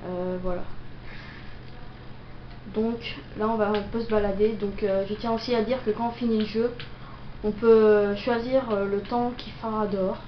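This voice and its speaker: A woman's voice: medium pitch, steady tone, moderate pace. A young woman with a cold improvising gameplay commentary, hesitant and full of fillers. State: has a cold, coughing. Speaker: gameplay video maker. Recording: roomy, dull lo-fi audio with noise.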